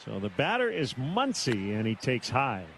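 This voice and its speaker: A man doing live baseball play-by-play on the radio in measured, terse calls, with pauses between them. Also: medium pitch, huge pitch swings, a bit bright, slight background noise. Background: outdoor ballpark ambience.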